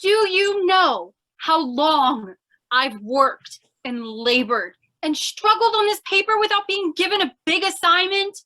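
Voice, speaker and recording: A feisty young newspaper gal from a vintage radio comedy, acted by a woman. Her voice is high, forceful and very lively, pressing every demand with theatrical impatience. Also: measured pace, exaggerated voice, rough recording. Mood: bossy, exasperated. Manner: insistent, exclamatory, theatrical. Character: feisty, pushy young female aspiring reporter, impatient fiancée. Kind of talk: old-time radio comedy acting.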